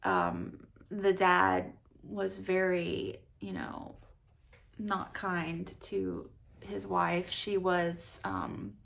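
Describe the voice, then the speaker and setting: A woman's voice: lowish voice, animated, extremely slow, gravelly, a bit bright. A woman talking casually and enthusiastically about books as a vlogger, in an unscripted, conversational way. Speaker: book vlogger reviewing her recent reads. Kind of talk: casual, unscripted book chat to camera.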